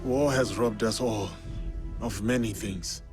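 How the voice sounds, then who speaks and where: A man playing a solemn, gentle samurai warrior in a feudal Japan game, measured and sombre. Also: on the low side, steady tone, over music.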